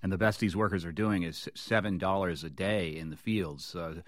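Low-voiced male radio interviewer. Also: moderate pace, no echo, studio quality. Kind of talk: serious news interview questioning.

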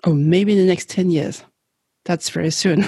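A woman's voice: lowish voice, steady tone, normal speed, warm tone, slightly rough, dry recording, clean studio sound. A woman hosting an interview, reading a scripted introduction, then asking questions as an attentive, encouraging listener. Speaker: podcast host.

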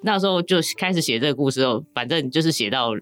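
A woman's voice: deep voice, lively intonation, fast talker, fairly bright tone, faint music behind. A woman with a Taiwanese Mandarin accent chatting casually and candidly as a guest novelist, rambling as she explains her writing.

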